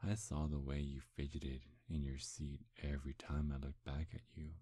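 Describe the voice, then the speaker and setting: A man playing a smug, seductive demon in an intimate ASMR roleplay, breathy and husky, teasing in a hushed, hypnotic way. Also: a bass voice, flat delivery, moderate pace, dry recording. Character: seductive, smug male demon posing as a handsome gentleman.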